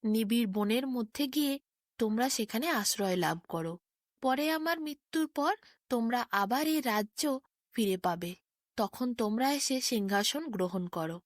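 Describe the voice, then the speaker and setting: A woman's voice: a little high, lively intonation, unhurried, dry recording, studio quality. A woman voicing a solemn, principled king and loving father in a storybook, speaking formally and earnestly. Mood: grave, worried.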